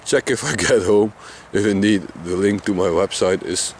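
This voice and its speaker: A deep, somewhat mumbling man with a Dutch Limburg accent talks casually to his camera out in open countryside. His voice sits low, and a faint outdoor hum lies behind it. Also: moderate pace. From Limburg, Netherlands. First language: Dutch Limburg dialect. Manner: deep voice, mumbling, chatty with small laughs. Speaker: vlogger. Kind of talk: casual outdoor vlog narration.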